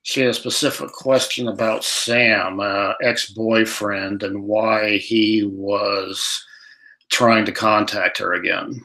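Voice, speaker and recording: A man's voice: on the low side, steady tone, slow pace, sharp tone, low-quality recording. A man co-hosting a psychic call-in show, reading out listeners' questions with many hesitant fillers. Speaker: radio show co-host relaying caller questions.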